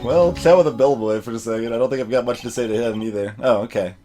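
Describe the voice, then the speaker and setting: A man giving casual let's play commentary and reading dialogue, haltingly thinking aloud. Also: medium pitch, dark tone, soft background music. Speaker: let's player voicing game characters. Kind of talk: casual gameplay commentary mixed with read dialogue.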